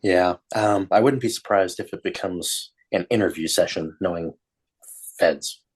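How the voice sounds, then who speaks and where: A man's voice: low voice, measured pace, husky. A man playing an easygoing, wry, folksy desert searcher in a tabletop game. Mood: wry, agreeable.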